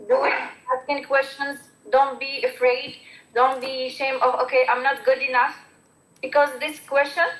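A young woman with an Algerian accent gives earnest, encouraging advice over a video call, often pausing on fillers and restarting her sentences. Her voice sits high. The call audio is roomy and echoey. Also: fairly bright tone. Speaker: former exchange student giving advice. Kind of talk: online panel talk.

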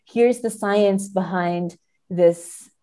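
Mellow-voiced woman explaining analytically. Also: medium pitch, extremely slow. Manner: explanatory, frequently checks with "right?". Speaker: podcast guest, literary scholar. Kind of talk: analytical podcast discussion.

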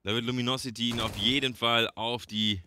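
A man's voice: mid-range voice, steady tone, a little fast, background noise. A man casting a game alone as play-by-play commentator, chatty and addressing viewers as friends. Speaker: esports caster. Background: game gunfire and clicks.

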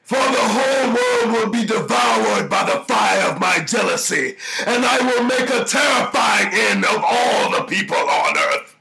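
An actor voicing an ancient, vengeful monster villain in an animated trailer, declaiming grandiosely with menace in a gravelly voice. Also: male voice, high-pitched, unhurried, exaggerated voice. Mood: wrathful, preaching. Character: ancient vengeful male monster villain, theatrical, wrathful and gloating. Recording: echoing voice over music.

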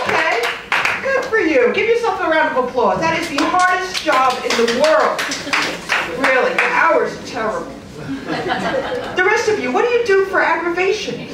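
A woman in her forties doing a stand-up comedy set into a handheld mic, landing wry, deadpan punchlines with lively asides. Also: very high voice, moderate pace, very noisy. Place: comedy club stage, audience laughing. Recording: roomy club sound from a far camera.

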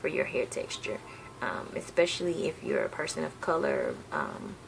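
A woman's voice: very deep voice, huge pitch swings, moderate pace. A young woman from the South, chatting casually on a home vlog, rambling with lots of ums and self-corrections. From Southern United States. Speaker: hair vlogger. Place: bedroom, seated on a patterned couch, indoors. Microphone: webcam at desk distance. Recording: gravelly voice with clear background hiss.

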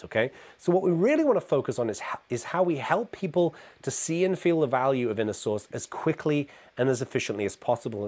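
Hoarse, gravelly middle-aged man with a cold; lively, conversational keynote speaker talking from a home office. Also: medium pitch. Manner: energetic, conversational, asks "okay?" often. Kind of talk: conference keynote presentation. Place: home office with neon sign.

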